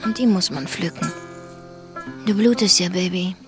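A woman plays a doting mother in a radio drama. Her voice is fairly low and gravelly with creak, yet soothing and affectionate. Music is clearly present underneath. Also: moderate pace, lightly acted. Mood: tender. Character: tender, doting mother of a teenage boy. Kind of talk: German radio drama acting.

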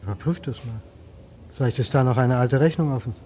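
An actor playing a mild middle-aged history lecturer, quietly inquisitive, in naturalistic radio drama dialogue. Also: male voice, medium pitch, fairly quick, slight background noise, lightly acted. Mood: inquisitive, calm.

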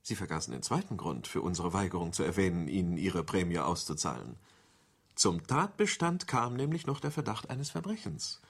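Radio drama casting: wry, stalling insurance adviser, lowish rough-edged voice, formal and dry, very varied intonation. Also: male voice, big pitch swings, fairly bright tone, heavily acted. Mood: dry, pedantic. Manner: dry, formal, measured emphasis. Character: wary, wry insurance company legal adviser, stalling politely. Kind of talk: German radio drama acting.

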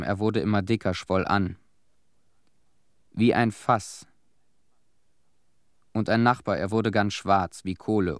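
A disillusioned young war-weary cleanup veteran in a radio drama. His voice sits low and is recorded dry and close. He gives his testimony soberly, in short clipped sentences. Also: dry recording. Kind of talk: radio play monologue, testimony.

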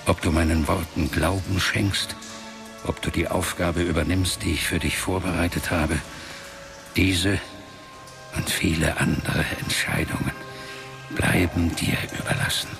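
An actor playing a grave, ancient warrior-mage father in a radio play, speaking solemnly and with measure in a breathy, gravelly voice. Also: low voice, fairly even intonation, measured pace. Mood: grave, persuasive. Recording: music under the voice.

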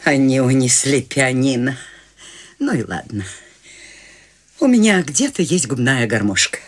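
A woman's voice: rumbling low, expressive, unhurried, breathy edge, full character voice. An actress playing an eccentric, free-spirited elderly woman in a studio radio play, tender and wistful. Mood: whimsical. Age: older. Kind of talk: studio radio drama acting.

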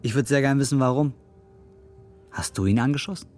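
An actor playing a fanatical born-again ex-convict in a radio drama, preachy and menacing. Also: male voice, mid-range voice, brisk, darkish tone, soft background music, close to an everyday voice. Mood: coolly menacing.

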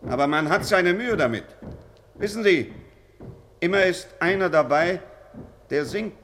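Drill officer, radio drama, forceful edge, dutiful, haunted, barked and brooding delivery, noisy recording. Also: male voice, sits high, animated, measured pace. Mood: brooding, confiding. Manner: barked military commands alternating with brooding confiding talk. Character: barking drill officer, weary, haunted, dutiful, grieving father. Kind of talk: German radio play acting.

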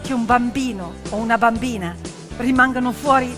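A woman's voice: a little high, normal speed, natural voice. Woman playing an earnest, determined teenage girl activist. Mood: impassioned, rallying. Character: determined, idealistic teenage Pakistani schoolgirl activist. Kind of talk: theatrical first-person monologue. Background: piano music.

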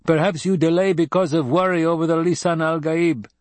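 A man's voice: a little high, steady tone, fairly quick, dry recording, pitched above the performer's own voice, natural voice. A man voicing a proud male desert warrior in an audiobook, blunt and matter-of-fact, eager about battle. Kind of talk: audiobook character dialogue.

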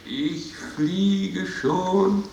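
An actor playing a sullen, servile, complaining servant in a comic radio play. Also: male voice, high voice, extremely slow, vocal fry, darkish tone, reverberant, a little hiss or hum, full character voice, low-quality recording. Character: put-upon, grumbling male servant of a god. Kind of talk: classical comedy radio play acting.

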